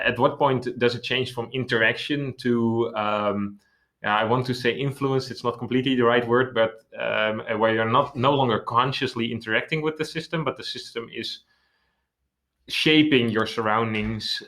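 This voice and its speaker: A man's voice: lowish voice, pushed and bright. A man, speaking English as a second language, interviewing a guest and hesitating as he searches for words. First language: not English. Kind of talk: interview questions.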